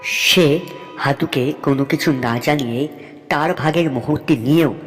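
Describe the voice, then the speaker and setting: A man's voice: on the high side, measured pace, a bit bright, soft background music. A man narrating a scripted folktale as a storyteller. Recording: long reverb on the voice.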